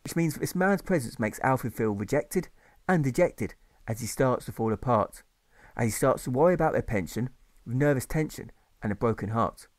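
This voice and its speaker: A man's voice: medium pitch, lively intonation, moderate pace, dry recording. A man narrating his own rhyming novel as an audiobook in a rhythmic, sing-song reading.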